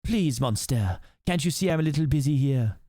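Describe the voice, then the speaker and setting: A man's voice: mid-range voice, animated, normal speed, dark tone, no room sound, studio quality, lightly acted. A man voicing a vain, amorous French outlaw hero, pompous and flamboyant, in a put-on generic French accent. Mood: smug.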